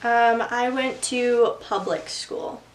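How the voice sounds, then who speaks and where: A woman in her twenties who answers interview questions in an even, unhurried way. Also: on the high side, reverberant, rough recording. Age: 20s.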